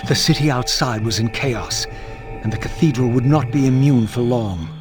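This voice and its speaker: An actor playing a bitter, brooding undead wraith in a video game, with grave, theatrical, deliberate phrasing. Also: male voice, mid-range voice, lightly acted. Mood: brooding, reflective. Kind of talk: dramatic video game dialogue and monologue. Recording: music behind the voice.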